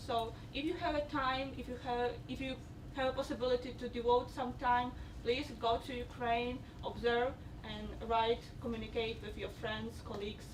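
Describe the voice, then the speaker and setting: A woman's voice: fairly high pitch, noisy background. A woman making a pleading appeal to an audience, repeating phrases as she searches for words. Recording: roomy, distant mic.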